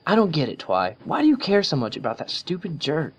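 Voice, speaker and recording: A man's voice: a little high, wildly swooping pitch, fairly quick, slight background noise, pitched above the performer's own voice. A man voicing a brash, tomboyish young female pegasus pony who talks bluntly and scornfully.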